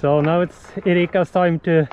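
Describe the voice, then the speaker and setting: A man's voice: fairly high pitch, flat delivery, a little mellow, slight background noise. A man in his thirties with a Swedish accent, hosting a casual outdoor vlog and talking to a camera held at arm's length. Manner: slow, cheerful, plain non-native English. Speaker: vlogger host. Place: outdoors by a lake. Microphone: action camera held at arm's length. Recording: dull outdoor camera audio.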